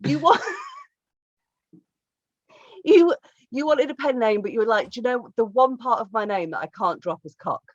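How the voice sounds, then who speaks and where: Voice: mid-range voice, wildly swooping pitch, measured pace, long pauses, no room sound. Teasing podcast co-host, dull dry sound. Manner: teasing, laughs a lot, asks questions. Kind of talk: casual podcast chat.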